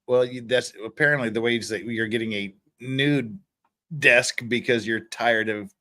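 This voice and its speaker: A man's voice: medium pitch, steady tone, a little slow, sharp tone. A man hosting a livestream with casual, joking, teasing banter. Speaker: livestream host.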